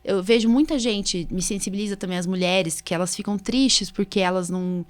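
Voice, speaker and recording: A woman's voice: mid-range voice, steady tone, fairly quick, clean tone. Brazilian woman chatting as a podcast host. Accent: Brazilian Portuguese. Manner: chatty, informal, recounts exchanges in acted-out dialogue. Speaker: podcast host, allergist doctor. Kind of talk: casual conversational podcast talk.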